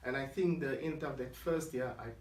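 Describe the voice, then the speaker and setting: A man's voice: medium pitch, steady tone, fairly quick, a little mellow, a little hiss or hum. A South African man in his forties whose first language is Afrikaans, earnestly telling his life story as an interview guest. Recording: echoey room, mic at a distance.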